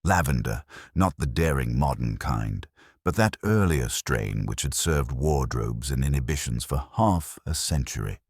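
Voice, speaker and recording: Male solo narrator for detective drama: bass-deep, husky, dryly witty and formal, expressive intonation. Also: no room sound. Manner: measured, dry, wry, formal delivery. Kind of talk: scripted literary narration of a mystery drama.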